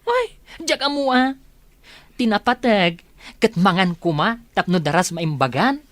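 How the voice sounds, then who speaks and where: A woman's voice: medium pitch, sing-song, unhurried, dry recording. A woman playing a helpful, reassuring family friend in a Filipino audio drama.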